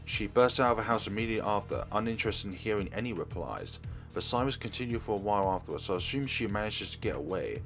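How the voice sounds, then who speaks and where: A man's voice: lowish voice, quick pace. A man narrating a visual novel aloud as a let's player, reading expressively from the text. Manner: expressive reading, acts out dialogue. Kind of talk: narration read from on-screen text. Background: game music.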